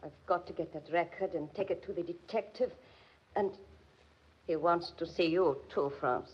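An actress playing a frightened, pleading woman, melodramatic and anguished, with a breathy, husky voice in 1940s radio style. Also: on the low side, moderate pace. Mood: uneasy. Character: frightened, pleading woman menaced by mad suitor. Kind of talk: 1940s radio drama acting. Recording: muffled 1940s radio recording.

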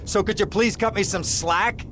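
A man's voice: high voice, moderate pace, a bit bright, a little hiss or hum. A man acting a jittery, whiny lawyer in a crime video game, rambling and pleading.

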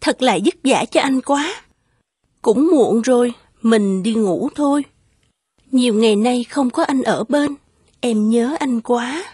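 Casting: devoted, yearning young lady for animated folktale, high voice, gentle deferential delivery. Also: slow pace, slightly rough, dry recording, studio quality. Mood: tender. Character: gentle, yearning young Japanese woman in love.

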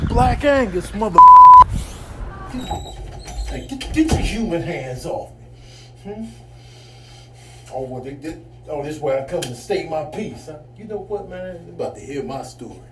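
A man plays a cocky, aggrieved bull in a comic animal voiceover. He boasts and protests in slangy street talk. His pitch runs fairly high and swings about freely. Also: sing-song, measured pace, long pauses, heavy background noise. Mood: indignant.

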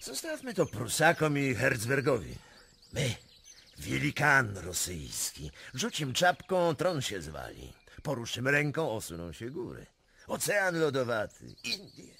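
An actor playing a volatile, mocking Russian prince in a radio drama, theatrical, grandiose and fatalistic. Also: male voice, medium pitch, huge pitch swings, measured pace, vocal fry, pushed and bright, husky, full character voice. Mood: boastful, taunting. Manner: theatrical, swinging from boasts to grave confessions.

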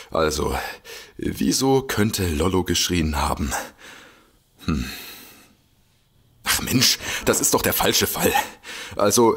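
An actor playing a nervous, fretful amateur detective in an audio drama, hesitant, flustered and muttering to himself. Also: male voice, fairly low pitch, breathy edge, heavily acted. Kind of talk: German audio drama acting.

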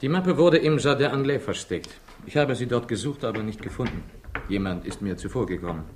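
An actor playing an earnest, urgent young investigator in a 1960s radio crime drama, polite and direct, on a noisy old recording. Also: male voice, medium pitch, animated, fairly quick, lightly acted.

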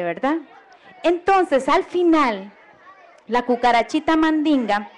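A woman teacher from Costa Rica telling a story to small children at an outdoor evening festival, speaking in a slow, singsong way. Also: high voice.

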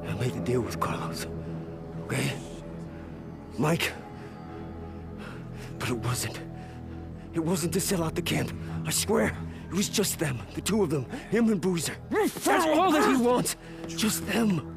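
A cornered turncoat pleads in a high, heavily creaking voice with music behind him. He is agitated and defensive, a desperate figure in a game drama. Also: very animated, measured pace, pauses often, heavily acted. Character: desperate, cornered male camp traitor pleading. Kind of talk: dramatic video game cutscene acting.

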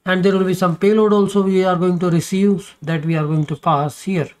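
A man's voice: high-pitched, steady tone, a little fast, a little mellow. A man explaining code step by step in a screen-recorded programming tutorial, with many fillers and restarts as he goes.

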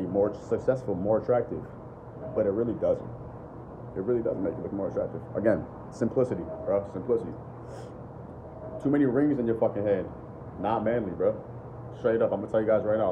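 A young man with a deep voice, talking blunt and profane off the cuff to his phone camera at home. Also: fairly quick, lo-fi sound, noisy background. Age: young adult. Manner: blunt, profane, repeats "bro" and "bottom line". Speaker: dating and self-improvement vlogger. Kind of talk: unscripted casual talk to camera. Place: living room at home. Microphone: phone camera at arm's length.

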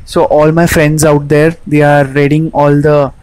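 A young man with an Indian English accent narrating his motorcycle ride casually, filling pauses with frequent "uh"s, recorded on a helmet camera outdoors. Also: fairly high pitch, little pitch movement, darkish tone, poor audio. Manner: hesitant, frequent "uh" fillers. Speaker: moto-vlogger. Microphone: helmet-mounted action camera. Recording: wind and road noise. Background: road and engine noise.

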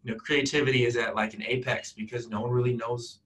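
A young man talking casually on a video call, animated and loose, with lots of fillers. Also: medium pitch, quick pace, poor audio. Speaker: guest from a music data company.

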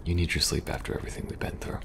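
A man playing a weary, kind young government agent in a soft-spoken, halting ASMR roleplay. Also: background noise, lightly acted. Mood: calm, reassuring. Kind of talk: intimate ASMR audio roleplay.

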